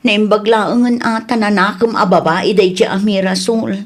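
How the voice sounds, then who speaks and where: A woman's voice: mid-range voice, steady tone, normal speed, lightly acted. A woman playing a hurt, indignant wife in an Ilocano radio comedy drama, with an Ilocano Filipino accent. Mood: hurt, complaining. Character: hurt, indignant wife confronting her cheating partner.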